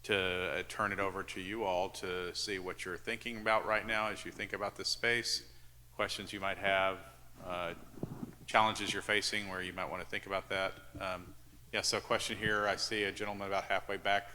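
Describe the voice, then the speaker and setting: A man's voice: low-pitched, flat delivery, moderate pace, sharp tone. A man moderating a conference panel, polite and organized as he opens questions to the audience. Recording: echoey conference hall, faint noise.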